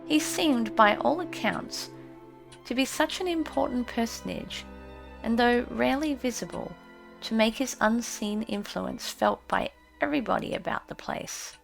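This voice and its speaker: Slow, creaky-voiced woman storyteller. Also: fairly high pitch, faint music behind. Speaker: audiobook narrator. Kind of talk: scripted storybook narration.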